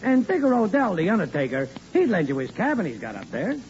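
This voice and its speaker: Swooping, wisecracking radio pal. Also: male voice, high voice, wildly swooping pitch, quick pace, darkish tone. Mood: persuasive. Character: wisecracking male neighbor pal, sly and casual. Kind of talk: 1940s radio comedy acting. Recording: muffled old radio recording, faint noise.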